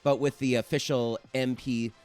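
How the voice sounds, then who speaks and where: A man chatting casually in a halting, hesitant way among a group. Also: medium pitch, flat delivery, a little mellow. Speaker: show panelist. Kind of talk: casual banter. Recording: faint music behind.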